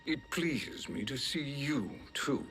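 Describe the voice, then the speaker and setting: A man playing a suave, sinister sorcerer in a video game cutscene, smooth, ingratiating and deliberate. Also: mid-range voice, animated, over loud music. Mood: silky welcome.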